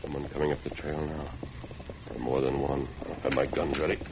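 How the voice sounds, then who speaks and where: An actor playing a calm, resolute cowboy lawman hero in an old-time radio western, speaking in a measured, formal, authoritative way. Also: extremely low pitch. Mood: watchful. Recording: noisy vintage radio drama recording.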